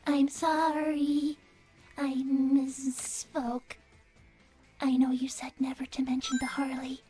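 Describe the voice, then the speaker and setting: A woman playing an earnest, devoted young artificial-intelligence daughter, soft and breathy, speaking politely and precisely in livestream banter. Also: high voice, monotone, slow pace, faint music behind. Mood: innocently prodding. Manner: polite, precise, matter-of-fact. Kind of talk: in-character improvised livestream banter.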